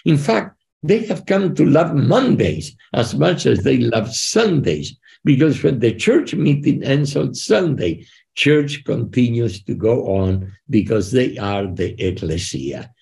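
An older man speaks from his living room on a video call, hosting with fervent, preacher-like emphasis. His delivery is slow and unhurried, with a gravelly edge and a lively, swinging pitch. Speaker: podcast host. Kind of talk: conversational interview.

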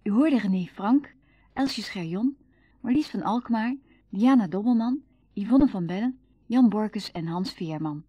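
A woman's voice: medium pitch, lively intonation, measured pace, mellow, breathy edge, no echo. A woman reading scripted credits as a radio drama announcer. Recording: dull old radio broadcast recording. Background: music.

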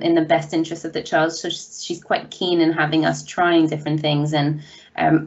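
A woman social worker answers a panel question thoughtfully, with hesitant restarts. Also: deep voice. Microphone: video call. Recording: muffled video call audio.

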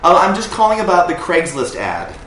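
A man puts on a cheerful, naive male caller in a comedy phone prank. The voice is polite, fairly high and lilting, in a roomy space. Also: wildly swooping pitch, a little fast, a bit bright, a little hiss or hum, full character voice. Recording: roomy living room recording.

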